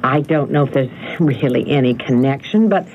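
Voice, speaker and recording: An actress playing an eager, chatty, polite wife in a radio drama, with an excitable and expressive manner. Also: female voice, extremely low pitch, moderate pace. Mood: curious. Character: eager, chatty wife who adores antiques. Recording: tinny, noisy old radio broadcast.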